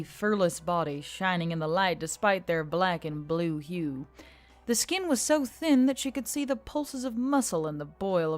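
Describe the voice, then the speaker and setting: A woman narrator reading a dramatic action story from a script. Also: fairly low pitch. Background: faint background music.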